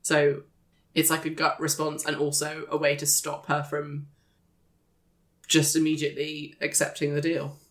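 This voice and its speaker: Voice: medium pitch, monotone, moderate pace. A tabletop player, explaining a character choice casually with fillers.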